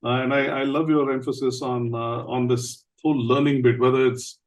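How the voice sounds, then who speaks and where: A male podcast host who chats easily and lets his questions wander before landing on them. His voice sits at an ordinary pitch, picked up from a little way back from the mic. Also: level tone, moderate pace, recorded from a distance. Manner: conversational, loose and meandering questions. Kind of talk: interview questions, casual conversation.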